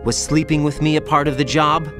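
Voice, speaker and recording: A man's voice: fairly high pitch, steady tone, a little fast, close to an everyday voice. An actor playing an earnest, bewildered young male soldier in a dramatic video game scene. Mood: hurt, bitter. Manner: questioning, incredulous, earnest. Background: music.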